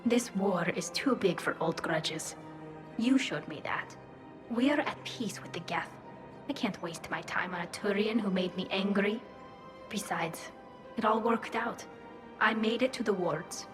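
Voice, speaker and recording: A woman's voice: mid-range voice, a little slow, music in the background, lightly acted. Woman voicing an echoey, reflective young alien woman. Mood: wry, forgiving. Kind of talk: video game voice acting.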